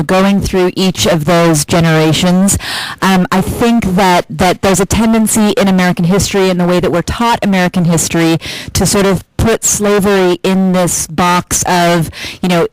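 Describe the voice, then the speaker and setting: A woman's voice: on the low side, smooth, no echo, low-quality recording. A woman asking a long, reflective audience question in a spontaneous, wordy way with many filler phrases.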